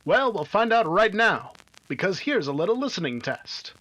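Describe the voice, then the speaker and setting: High-voiced male radio announcer. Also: sing-song, moderate pace, sharp tone, dull audio. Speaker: narrator and announcer. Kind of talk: scripted old-time radio announcing and narration.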